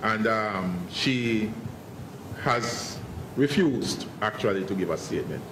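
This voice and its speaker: Halting, formal police investigator, man, noisy audio. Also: fairly low pitch, animated, reverberant. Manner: guarded, formal, halting with repeated words. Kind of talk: press briefing answers. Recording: noisy online press briefing audio.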